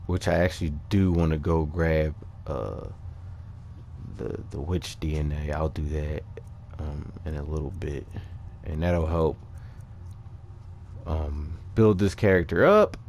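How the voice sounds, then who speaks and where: A man with a low voice delivers loose, unscripted gaming commentary. He thinks out loud, halting and picking up again as he explains things to his viewers. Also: slight background noise. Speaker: gaming video creator. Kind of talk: casual unscripted game commentary.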